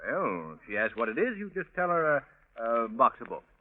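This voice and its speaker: An actor playing a suave, playful gentleman detective with an urbane, teasing manner, in 1940s radio drama style. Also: medium pitch, very animated, brisk, lightly acted. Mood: brisk, playful. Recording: thin, tinny vintage radio recording.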